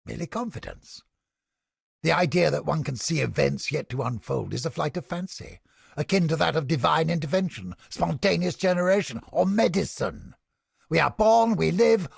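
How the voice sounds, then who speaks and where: A man playing a haughty, creepy mind-reading interrogator in a video game, with a pompous, florid, theatrical delivery and a raspy, gravelly voice. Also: a little high, no echo, studio quality, exaggerated voice. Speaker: game character. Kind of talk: video game character voice lines.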